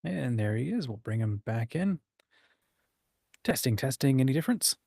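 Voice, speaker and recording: A man with a mid-pitched voice chats casually as the host of a livestream. His manner is informal and encouraging, and the sound is close and dry. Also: big pitch swings, moderate pace, creaky, darkish tone, slightly rough, no room sound. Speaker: host of a writing community livestream.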